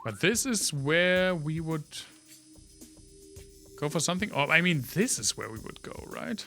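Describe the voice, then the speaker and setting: A man's voice: a little high, very animated, measured pace, bright, forceful tone, soft background music. A man from Germany with a German accent, chatting casually on a livestream while he plays a game, rambling through trivia on a studio condenser mic. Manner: rambling, full of trivia, talks to chat between gameplay reactions. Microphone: studio condenser microphone.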